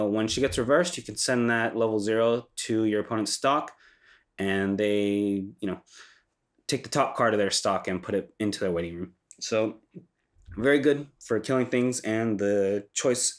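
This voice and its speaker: Congested young man talking casually. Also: mid-range voice. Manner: loose and conversational, frequent ums and self-corrections. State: congested from a cold. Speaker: channel host reviewing a card game deck. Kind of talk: casual explanatory walkthrough.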